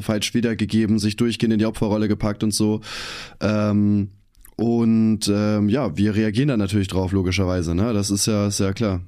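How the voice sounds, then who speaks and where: Young male podcast co-host, hoarse, lowish voice, relaxed slangy delivery. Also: no echo, studio quality. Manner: laid-back, slangy, many fillers. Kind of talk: casual chat.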